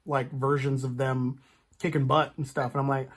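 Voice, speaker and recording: A man hosting a casual, animated chat about film and TV, acting out imagined reactions as he goes. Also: medium pitch, normal speed. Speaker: podcast host.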